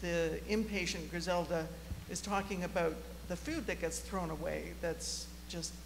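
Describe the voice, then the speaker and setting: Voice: mid-range voice, steady tone, measured pace, creaky. Audience commenter, reflective, hesitant delivery, reverberant auditorium with a slight hum. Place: large auditorium, audience microphone. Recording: reverberant hall, faint hum and noise.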